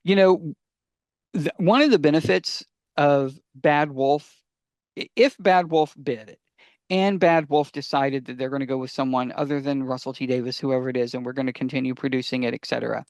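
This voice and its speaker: A man's voice: medium pitch, moderate pace, a little mellow, no echo. A man co-hosting a casual fan discussion, speaking conversationally and addressing listeners directly. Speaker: podcast co-host.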